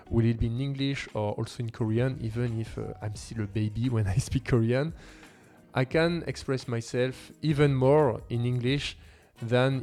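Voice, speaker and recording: A man's voice: medium pitch, darkish tone. Male vlog host, native French speaker with French accent, mid-range voice, casual non-native phrasing, light music underneath. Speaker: language-learning video host. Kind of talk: casual talk to camera.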